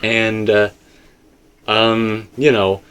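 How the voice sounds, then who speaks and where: A man's voice: lowish voice, little pitch movement, very slow, a bit bright, smooth. A man co-hosting a casual, unscripted discussion, talking in a rambling, conversational way full of qualifications. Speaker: co-host of a video game theory discussion.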